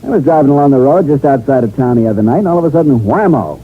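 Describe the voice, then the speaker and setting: An actor in a 1950s radio drama playing a breezy, money-minded town promoter with a brash, slangy, matter-of-fact manner. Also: male voice, mid-range voice, animated, rapid-fire, breathy edge. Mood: brash, assured. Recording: tinny band-limited old radio recording, faint noise.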